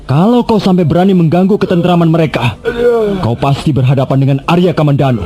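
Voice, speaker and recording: An actor playing a calm, commanding young warrior in a radio drama, giving firm warnings. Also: male voice, a little high, fast talker, background noise, close to an everyday voice. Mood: stern.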